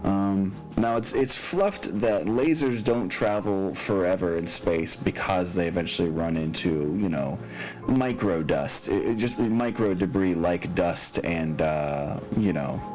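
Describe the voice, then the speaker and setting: Male game master, low-pitched, casual and halting as he reasons through tabletop rules over soft music.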